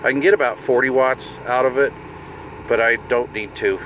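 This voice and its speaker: A man's voice: medium pitch, steady tone, normal speed, fairly bright tone, low-quality recording. A middle-aged man giving a casual hands-on demonstration as a hobbyist video host, informal and half talking to himself. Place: inside a parked vehicle. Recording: noticeable car and fan noise.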